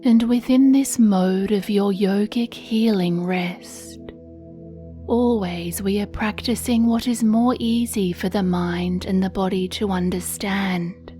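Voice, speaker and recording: A woman leads a scripted sleep meditation very slowly, leaving long pauses between phrases. Her voice is mellow and relaxed, soothing and hushed, with a breathy edge. Faint music plays behind her. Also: medium pitch. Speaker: meditation guide.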